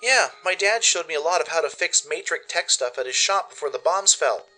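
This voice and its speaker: Man putting on a cute, high-pitched young filly. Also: animated, brisk, bright, forceful tone, faint music behind, poor audio. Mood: cute, upbeat. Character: cute young female pony, eager and nervy narrator-heroine.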